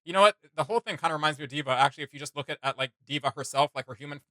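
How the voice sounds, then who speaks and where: Bright-voiced man, rambling fan commentary. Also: on the high side, racing, no echo, studio quality. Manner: rambling, slangy, enthusiastic fan talk. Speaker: reaction video host. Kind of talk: casual unscripted reaction commentary.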